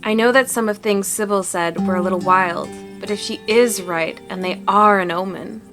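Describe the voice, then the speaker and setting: A woman acts out an earnest young woman who hunts cryptids in a visual novel. She is friendly and sincere, with an average-pitched voice. Music plays clearly behind her. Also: moderate pace, close to an everyday voice. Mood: worried, hopeful. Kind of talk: visual novel fan dub.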